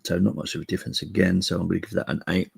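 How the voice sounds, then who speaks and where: A middle-aged man hosting a live football preview stream, speaking quietly while chatting casually with viewers. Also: low voice, level tone, very fast, no echo, clean studio sound. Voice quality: gravelly. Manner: keeping voice down, casual stats chat with viewers. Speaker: fan channel host.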